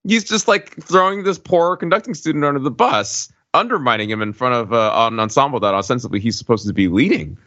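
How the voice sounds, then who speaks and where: A man's voice: medium pitch, a little fast, few pauses, dry recording. A man co-hosting a casual film discussion in a colloquial style full of asides and tag questions. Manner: animated colloquial asides, frequent "like" and "right?". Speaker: podcast co-host. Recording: dull, muffled close microphone.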